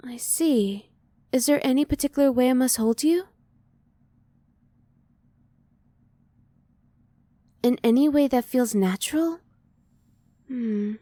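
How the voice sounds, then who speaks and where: Soft, mellow, curious alien woman, acted out by a woman. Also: high voice, dry recording, close to an everyday voice. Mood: curious, tentative. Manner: formal, measured, precise diction. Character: curious, emotionally reserved female alien, polite and analytical. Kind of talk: ASMR roleplay.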